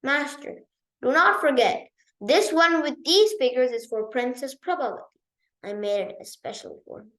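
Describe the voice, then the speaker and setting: A woman voicing a devoted, determined young king in a storybook, speaking in an earnest, gentle manner. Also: high-pitched, moderate pace, poor audio.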